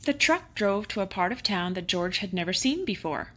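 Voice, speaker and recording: A woman with a medium-pitched voice reads a bedtime storybook aloud to children. She narrates expressively, stretching out key words and leaning into dramatic moments. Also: expressive, normal speed, pushed and bright. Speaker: storybook reader.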